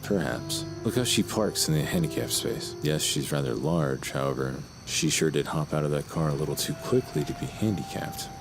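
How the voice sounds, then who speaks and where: A man's voice: very deep voice, expressive, moderate pace, gravelly, few pauses, music in the background, exaggerated voice. A man playing a desperate crime writer in an audio drama, wordy and theatrical, talking himself toward something sinister. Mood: coldly persuasive.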